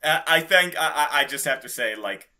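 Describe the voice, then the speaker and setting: A man's voice: a little high, lively intonation, brisk, pushed and bright. A man co-hosting a podcast, chatting casually and informally about video games with loose, slangy talk. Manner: informal, slangy, mildly profane. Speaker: podcast co-host.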